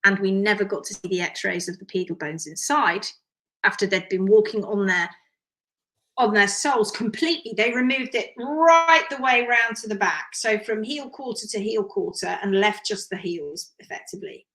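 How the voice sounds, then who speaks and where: A hoarse woman with a croaky voice from strained vocal cords, a hoof care instructor talking animatedly and reading out viewer comments in a live session. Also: medium pitch. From United Kingdom. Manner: animated and emphatic, quick asides.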